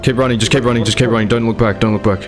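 A man's voice: medium pitch, fairly even intonation, very fast, music in the background, lightly acted. A man playing a cautious, take-charge survivor of a zombie outbreak in an improvised video game roleplay. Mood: urgent.

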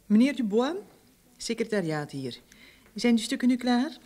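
An actress playing a brisk, formal female office secretary in an old radio drama. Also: fairly high pitch, very animated, mellow.

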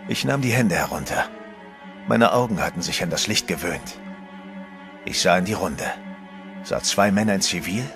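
A man narrating in first person in a German horror radio play, tense and measured. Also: fairly low pitch.

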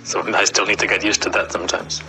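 A man's voice: sits low, level tone, quick pace, rough recording. A young man from Wales, answering interview questions patiently in voice messages. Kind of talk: voice message replies.

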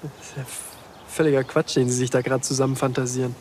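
An actor playing an evasive, defensive husband under police questioning in a TV crime drama. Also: male voice, medium pitch, little pitch movement, fast, darkish tone, slight background noise, natural voice.

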